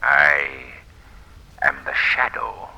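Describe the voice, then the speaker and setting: An actor playing a menacing invisible avenger in a 1940s radio drama, speaking in a sinister, deliberate, commanding way. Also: male voice, bright, forceful tone, exaggerated voice. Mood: commanding, probing. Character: menacing invisible mystery avenger, hypnotic man. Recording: noisy old radio recording.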